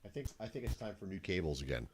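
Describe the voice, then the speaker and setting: A podcast co-host with a very low voice that swings widely in pitch, trading blunt jokes in casual talk. His manner is loose and plainspoken. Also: very animated, fast talker, slight background noise.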